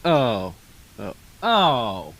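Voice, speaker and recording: Teen guy doing a lazy scaredy cat for a radio play, super slow and languid, really swoopy pitch. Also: very animated, a bit bright, full character voice. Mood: alarmed. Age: teenager. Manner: languid, drawn-out, theatrical delivery. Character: lazy, cowardly talking pet cat, dryly complaining. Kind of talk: high school radio play acting.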